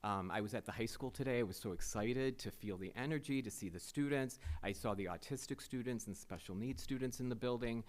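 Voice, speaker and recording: A man's voice: mid-range voice, brisk, hardly any pauses. A man interviewing for a superintendent job, answering in an earnest, rambling way with many fillers and restarts. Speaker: superintendent candidate. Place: school board meeting room.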